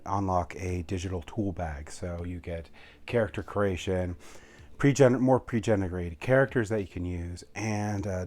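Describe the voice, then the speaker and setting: Male reviewer, low-pitched, casual and unscripted, halting delivery with frequent self-corrections. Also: a little mellow. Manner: halting, often correcting himself mid-sentence. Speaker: tabletop game reviewer. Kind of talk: casual unscripted product walkthrough.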